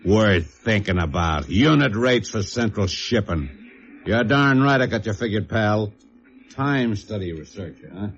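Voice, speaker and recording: A man's voice: on the low side, expressive, measured pace. An actor playing a bitter, suspicious, sarcastic American factory foreman with a confrontational manner, in 1950s radio drama style. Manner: confrontational, sneering. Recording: muffled old radio recording.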